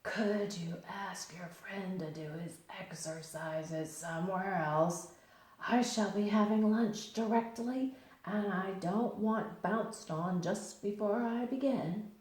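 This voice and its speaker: A woman doing a slow, gloomy old donkey. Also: mid-range voice, a little mellow, natural voice. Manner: slow, drawn-out, mournful. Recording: very echoey room.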